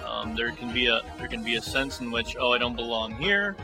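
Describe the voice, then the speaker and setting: A man's voice: mid-range voice, lively intonation, fairly quick, vocal fry. A man, a missionary parent, speaking candidly about belonging and his kids in a mission video. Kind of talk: interview in a ministry video. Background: music.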